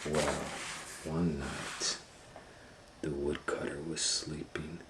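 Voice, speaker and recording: A man hosts storytime in a very low voice. He tells the tale slowly, with theatrical, folksy, drawn-out phrasing and little asides. The room is echoey. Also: flat delivery. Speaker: storytime host and reader. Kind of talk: children's storybook read-aloud with asides. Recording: roomy sound with clear background noise.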